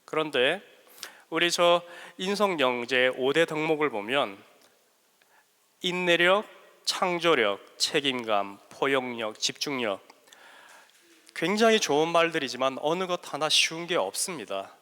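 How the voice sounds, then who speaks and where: Bright-voiced man with regional Korean lilt. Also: on the high side, animated, measured pace, smooth. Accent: Korean regional dialect under learned Seoul speech. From South Korea. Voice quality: joking, self-deprecating, with frequent hesitant fillers. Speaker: guest mentor lecturer. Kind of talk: live lecture to students. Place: large hall at a school workshop. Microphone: handheld microphone. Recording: echoey hall reverb.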